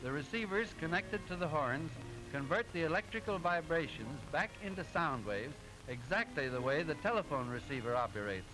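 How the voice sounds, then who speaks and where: A genial cartoon professor voiced by a man lectures in a high voice. He explains precisely and didactically, in the manner of 1920s educational film narration. Music plays behind him on an old soundtrack. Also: close to an everyday voice, poor audio.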